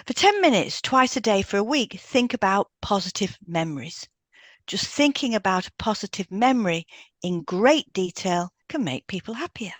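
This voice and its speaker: A woman's voice: mid-range voice, lively intonation, normal speed, no room sound. A woman co-hosting a podcast, reading out step-by-step instructions from a script with brief asides. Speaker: podcast co-host, hypnotherapist.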